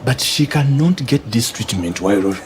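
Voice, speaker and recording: Radio drama doctor , male actor: Ugandan accent, grave and hesitant, lively intonation, clear background noise. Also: medium pitch, moderate pace, lightly acted. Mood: firm, urgent. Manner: hesitant, explanatory. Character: grave, careful male doctor breaking bad news.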